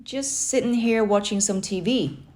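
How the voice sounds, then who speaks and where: A woman's voice: medium pitch, measured pace, pitched above the performer's own voice, close to an everyday voice. A woman voicing an eager, earnest schoolboy who is easily flustered, in a children's storybook. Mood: defensive. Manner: earnest, questioning, excitable. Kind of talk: children's storybook character reading.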